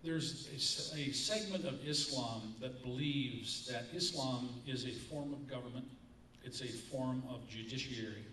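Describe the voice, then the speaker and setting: A man, moderating a candidate forum and posing a question in a measured, deliberate way. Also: mid-range voice, bright, forceful tone, a little hiss or hum. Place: community forum room. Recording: echoey room from far off.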